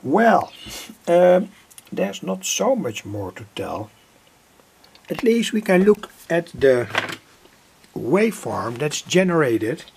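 A middle-aged man with a gravelly, husky voice giving an informal, halting technical explanation as a hobbyist presenter. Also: a little high, big pitch swings, a little mellow. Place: indoor home electronics workbench.

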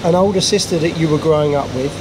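A man's voice: a little high, fast talker. A middle-aged man hosting an interview, asking follow-up questions and encouraging his guest. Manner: warm follow-up questions, many short encouraging replies. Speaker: host interviewer. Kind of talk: casual sit-down interview. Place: outdoor courtyard table. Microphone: camera at a distance across the table. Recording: outdoor ambience with traffic noise.

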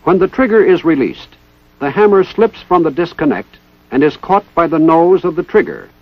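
Lively-pitched man narrating a vintage training film. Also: no echo. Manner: formal, precise, measured enunciation. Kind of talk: 1960s instructional film narration, scripted. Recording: dull 1960s training film soundtrack.

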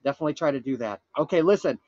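A man playing a frantic, earnest time traveler from the future on a prank call, urgent, pleading and halting with dramatic pauses. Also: fairly high pitch, lively intonation, darkish tone, dry recording, dull audio. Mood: solemn pleading. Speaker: prank caller. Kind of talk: improvised comic prank phone call.

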